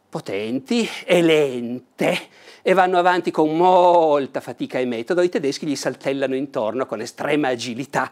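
Older man, enthusiastic board game host, animated explainer, clip-on mic indoors. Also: mid-range voice. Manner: animated, leans over table and gestures while explaining. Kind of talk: enthusiastic unboxing talk. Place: bright indoor room with a large table.

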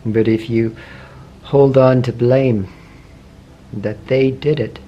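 A man leading a guided meditation, asking slow, gentle questions with long pauses between phrases. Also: medium pitch, steady tone, lo-fi sound. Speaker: meditation teacher. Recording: faint background noise with meditation music.

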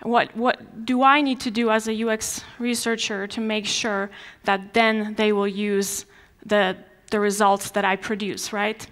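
A woman's voice: medium pitch, little pitch movement, a little slow, hardly any pauses, a bit bright. Polish-accented woman giving a conference talk. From Poland. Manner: conversational with frequent restarts and fillers. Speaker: conference speaker. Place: large dark conference stage before an audience.

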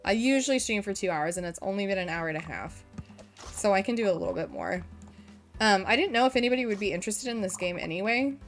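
A woman's voice: mid-range voice, expressive, fast talker, soft background music. A young woman casually streaming a game and reading its dialogue aloud, while she is losing her voice from illness. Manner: chatty, reads the hero's lines playfully.

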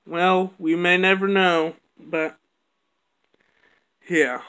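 A home video maker talking through plush toys in a quiet, halting, mumbled way. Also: medium pitch, steady tone, clear, resonant tone, poor audio. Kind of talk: plush toy skit with puppet dialogue. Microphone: camera on a bedroom floor.

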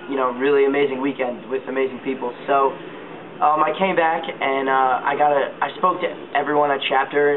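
A man's voice: mid-range voice, phone-quality sound, background noise. A young man giving a casual testimonial to camera as a college volunteer. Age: young adult. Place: indoor lounge by a large window.

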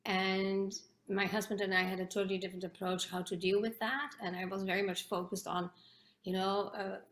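A woman's voice: mid-range voice, level tone, fairly quick. Dutch accent lady on a video call, earnest, lots of restarts, roomy echo. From Netherlands. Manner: earnest, many restarts and fillers. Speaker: guest. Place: home room with bookshelves. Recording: echoey room on a video call.

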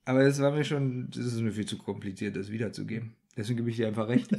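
A man's voice: medium pitch, steady tone, fast talker, creaky, darkish tone. A man co-hosting a podcast in casual debate, insistently repeating his point. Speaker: podcast co-host.

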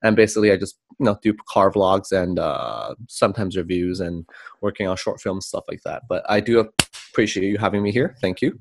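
A man's voice: low-pitched, fairly quick, vocal fry, background noise. A young man from China, a guest on a video-call interview, talking in a laid-back, easygoing way. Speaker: guest, car vlogger.

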